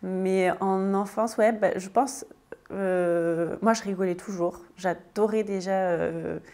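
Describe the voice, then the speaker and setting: A woman's voice: mid-range voice, fairly even intonation, slow, darkish tone. A French woman in her thirties answering interview questions casually, with many hesitations and restarts. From France. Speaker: guest, tiny house builder.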